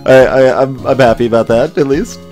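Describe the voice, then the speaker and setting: A man hosting a let's play, giving casual, halting, amused commentary with music behind him. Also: mid-range voice, a little mellow. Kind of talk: casual gaming commentary with voiced dialogue reading.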